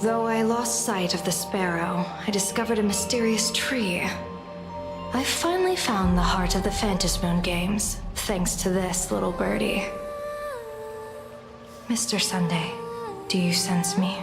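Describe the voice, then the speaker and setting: A woman's voice: mid-range voice, measured pace, lightly acted. Woman acting a composed seer in an echoing hall. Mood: curious. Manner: articulate, formal, probing. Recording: echoey hall with music behind.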